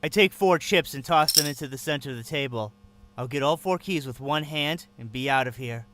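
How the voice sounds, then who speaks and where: A man's voice: medium pitch, a little fast, noisy background. A man narrating in first person as a captive, with a tense, wary inner voice.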